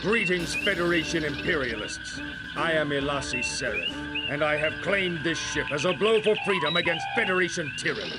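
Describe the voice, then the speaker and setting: An actor playing a defiant, mocking space pirate leader in a video game, with declamatory, taunting delivery. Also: male voice, fairly high pitch, lively intonation, normal speed, close to an everyday voice, rough recording. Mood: defiant, proud. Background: loud music.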